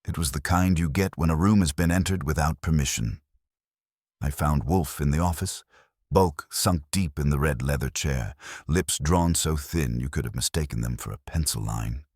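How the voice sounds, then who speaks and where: A man reading a first-person detective story in a deliberate, dramatic, hardboiled storytelling manner. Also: very deep voice, a little mellow, husky, no echo, clean studio sound. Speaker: audiobook narrator.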